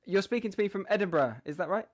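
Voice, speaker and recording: A man's voice: high voice, fast. A man hosting a podcast, introducing and questioning in an interview style. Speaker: podcast host.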